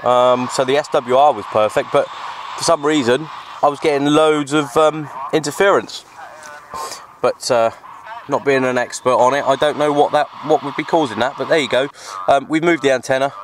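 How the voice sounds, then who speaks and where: A man talks casually to his handheld camera out in the open air. His voice sits at an average male pitch, and his delivery is informal and unscripted, with halting phrases. A faint layer of outdoor noise runs under the recording. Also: fairly even intonation, rough recording. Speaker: vlogger, CB radio hobbyist. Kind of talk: casual outdoor vlog narration. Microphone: handheld camera microphone.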